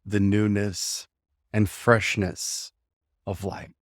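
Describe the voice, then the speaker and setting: A man with a lowish voice leads a guided meditation very slowly. He gives gentle instructions in short phrases, leaving room between them. The sound is dry and close, with little room echo. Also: level tone.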